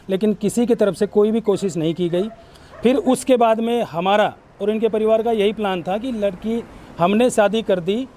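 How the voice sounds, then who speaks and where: Male villager, high-pitched voice, earnest and insistent interview testimony, faint outdoor noise behind. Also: darkish tone. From Haryana, India. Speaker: villager interviewed by local news. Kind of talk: on-camera news interview testimony.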